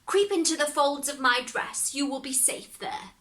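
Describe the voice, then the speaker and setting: A woman voicing the devil's old grandmother in a storybook, brisk, commanding and scolding, yet pitying. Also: sits high, pushed and bright, pitched above the performer's own voice. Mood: pitying, advising.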